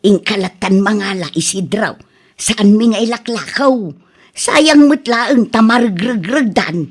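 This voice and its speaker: An actress playing a soft-hearted older wife in a radio drama, gently reproving, with an Ilocano accent. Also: female voice, medium pitch, animated, a little slow, breathy edge. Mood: kindly. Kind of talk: Filipino radio drama acting.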